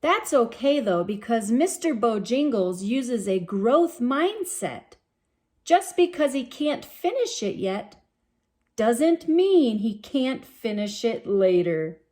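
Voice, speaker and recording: Female children's storybook reader. High voice, unhurried delivery, expressive, sing-song touches. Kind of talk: read-aloud storytelling for young children.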